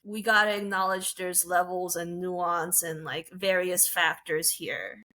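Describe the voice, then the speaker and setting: A woman who teaches English, co-hosting a casual podcast chat and speaking slowly and thoughtfully with many pauses. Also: on the low side, steady tone, sharp tone. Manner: slow, thoughtful, with frequent pauses and fillers.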